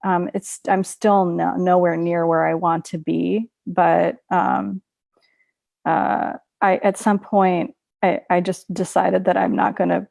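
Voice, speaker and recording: A woman's voice: fairly low pitch, flat delivery, slow, vocal fry, a little mellow, dry recording. A woman in her thirties, raised in Russia, talking chattily with many fillers and restarts as a webinar guest over a video call. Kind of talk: webinar conversation.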